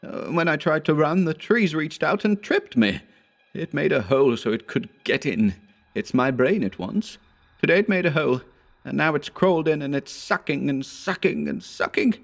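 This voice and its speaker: A man voicing a terrified, delirious young man who babbles in a shrill, sobbing voice, in a horror story reading. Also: lively intonation, moderate pace, vocal fry. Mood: terrified babbling.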